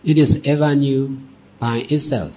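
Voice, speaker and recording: A man teaches meditation in a soft-edged, mellow voice that is slightly husky and veiled. He speaks deliberately, in short phrases separated by long pauses. The recording is dull and lo-fi. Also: medium pitch, steady tone. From Myanmar. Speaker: Buddhist monk and meditation teacher.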